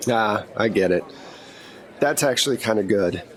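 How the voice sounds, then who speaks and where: A man's voice: fairly low pitch, normal speed, pitched below the performer's own voice, lightly acted. A man playing a breezy, blunt government agent handler in a tabletop game, briefing in a casual, wry and reassuring way. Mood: casual, businesslike.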